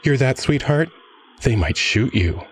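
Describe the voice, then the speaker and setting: A man playing a swaggering, smug billionaire crime-boss father in an audio drama, with a husky, drawling, mock-affectionate delivery. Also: mid-range voice, big pitch swings, measured pace, slight background noise. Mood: menacing.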